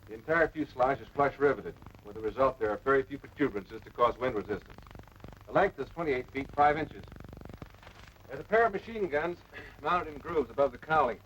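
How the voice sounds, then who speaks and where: An actor playing a seasoned, patient air force officer giving measured, authoritative instruction in a 1940s military training film. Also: male voice, mid-range voice, expressive, a bit bright, natural voice. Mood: instructive. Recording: noisy dull vintage film soundtrack.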